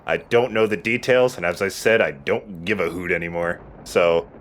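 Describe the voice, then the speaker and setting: A man's voice: deep voice, normal speed, a bit bright, slight background noise. A man giving casual, matter-of-fact Let's Play commentary, explaining the game in a laid-back, sweary way. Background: game music.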